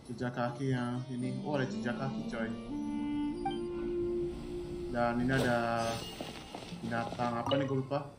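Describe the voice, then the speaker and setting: A man with an Indonesian accent giving casual, slangy live gameplay commentary as a streamer. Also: medium pitch. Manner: informal, slangy. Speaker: livestreaming gamer. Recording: roomy echo, loud game music behind. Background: game music.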